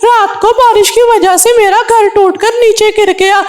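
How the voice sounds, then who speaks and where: A woman's voice: very high voice, fairly even intonation, normal speed. A woman voicing a homeless male cartoon parrot, polite, earnest and plaintive in a children's story. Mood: pleading. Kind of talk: Hindi children's cartoon dialogue.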